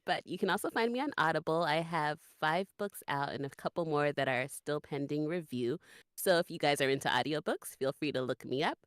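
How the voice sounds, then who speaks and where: Low-voiced, animated woman guest. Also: no room sound, clean studio sound. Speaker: voice actor and audiobook narrator guest. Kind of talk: casual chat.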